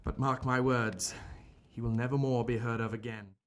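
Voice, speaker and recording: Male actor as troubled gentleman doctor, mid-pitched, formal, earnest, emphatic, secretive, audio drama. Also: steady tone, normal speed, natural voice. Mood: agitated, insistent. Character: shaken, secretive gentleman doctor, anxious and remorseful.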